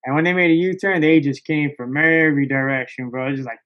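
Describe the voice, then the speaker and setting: Male podcast guest, higher-pitched, excitable casual storyteller, slangy and sweary, slightly lo-fi recording. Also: fast talker. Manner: slangy, excitable, profanity-laced, peppered with "bro" and "dog".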